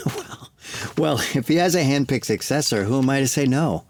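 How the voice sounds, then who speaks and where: An actor playing an uneasy, apologetic field researcher in an audio drama, speaking in a halting, formal and deferential way. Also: male voice, mid-range voice, expressive, darkish tone, slight background noise, close to an everyday voice. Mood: apologetic, concerned. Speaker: field researcher reporting to his boss.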